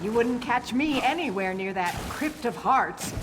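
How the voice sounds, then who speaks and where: A woman's voice: a little high, expressive, measured pace, noisy background. A woman voicing a wary townsperson who shies from danger in a video game. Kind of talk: video game character dialogue.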